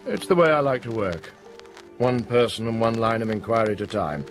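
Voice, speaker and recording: Actor as grave, insistent police inspector, lively intonation. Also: male voice, lowish voice, faint music behind, close to an everyday voice. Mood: firm. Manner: measured, firm, polite but insistent. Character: grave, unshakeable male police inspector, deliberate and probing. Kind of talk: radio play acting.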